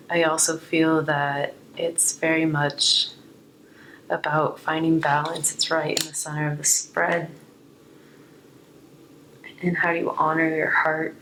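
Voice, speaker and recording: Female tarot reader, low register, a touch hoarse, reflective and unscripted delivery, some noise behind the voice. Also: fairly bright tone, low-quality recording. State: slightly hoarse. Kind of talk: unscripted spiritual card reading, reflective.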